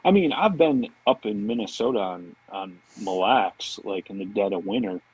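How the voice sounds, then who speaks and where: A man's voice: lowish voice, animated, normal speed, a little mellow, breathy edge, no room sound, poor audio. A man chatting casually and telling informal stories as a podcast guest. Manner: informal, anecdotal, peppered with "man" and "you know". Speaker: podcast guest, fishing marketing director. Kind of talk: casual chat.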